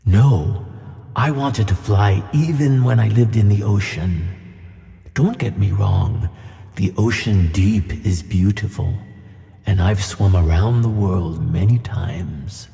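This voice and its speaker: A man playing a huge, gentle old flying tuna, wise and wistful, with a deep, gravelly voice in a children's audio play. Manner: slow, measured, kindly. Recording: long hall reverb on voice.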